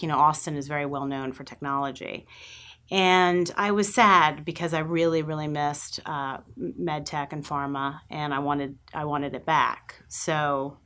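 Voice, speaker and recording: A middle-aged woman with a Long Island New York accent, a podcast guest who tells lively anecdotes and acts out remembered dialogue in a studio interview. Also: low-pitched. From New York, USA. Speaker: guest, agency president. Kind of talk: conversational storytelling interview.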